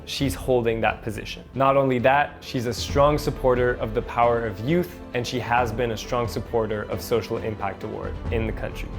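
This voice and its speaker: A man hosts a travel-style video series, narrating and asking questions in quick, eager bursts at a middle pitch. Light music plays behind him. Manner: quick, chatty, enthusiastic phrasing. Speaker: host and interviewer. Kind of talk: casual vlog narration and interview questions. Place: outdoors around a city.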